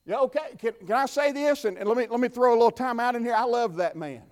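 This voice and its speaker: A man with a Southern US accent preaching a sermon from the pulpit, building to fervent shouts and dropping to quiet talk. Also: very high voice, fast talker. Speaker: pastor. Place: church.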